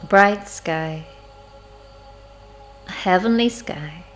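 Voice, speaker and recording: Slow, low-voiced woman leading meditation. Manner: unhurried, reflective, measured with long pauses. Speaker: guided meditation leader. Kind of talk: slow spiritual guided meditation narration. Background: music.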